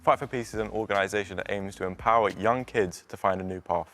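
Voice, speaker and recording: A man presenting a studio magazine show in a brisk, conversational way. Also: on the low side, over loud music.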